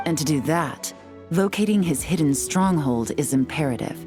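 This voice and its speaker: Low-voiced, composed female agent. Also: lively intonation, moderate pace, music in the background. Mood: assured, businesslike. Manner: composed, instructive, assured. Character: cool, shrewd adult female intelligence agent, confident and composed. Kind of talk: video game voice acting.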